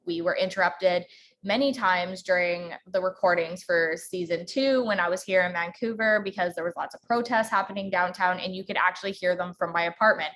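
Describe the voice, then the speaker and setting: Woman podcast host, slight central Alberta accent, quick informal workshop delivery, lots of ums. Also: fairly low pitch, a bit bright. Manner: fast talker, many ums. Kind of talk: informal teaching talk.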